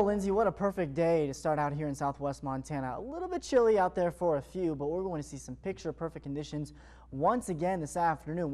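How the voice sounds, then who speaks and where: A man's voice: on the high side, a little mellow. An American man presenting a morning television weather forecast in an upbeat, fluent presenter's delivery. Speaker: television meteorologist.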